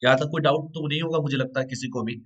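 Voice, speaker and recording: A man with a hoarse voice teaching an online exam-prep class, explaining step by step, repeating key points and asking students questions. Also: fairly high pitch, steady tone, fast talker, highs cut off. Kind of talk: live online lecture.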